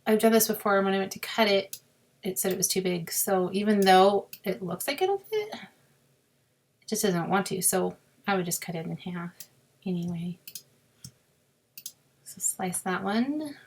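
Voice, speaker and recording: A woman with a sore, scratchy throat, explaining a crafting software task step by step in a chatty way and muttering to herself as she clicks. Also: medium pitch, animated, a little slow, long pauses. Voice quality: raspy with creaky fry. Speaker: crafting tutorial host. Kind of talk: screen-recorded software walkthrough.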